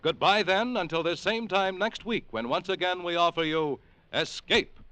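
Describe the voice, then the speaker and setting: A man announcing a 1940s radio program, reading sponsor messages and credits in a crisp, emphatic, formal manner. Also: high-pitched, normal speed, pushed and bright.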